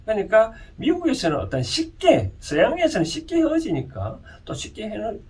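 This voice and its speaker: Male lecturer, Gyeongsang Korean accent, very lively intonation, halting informal delivery full of rhetorical questions, recorded at a distance from the mic. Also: sits high. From Gyeongsang region, South Korea. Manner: halting, often asks listeners rhetorical questions. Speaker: lecturer and counsellor. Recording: distant mic with faint background noise.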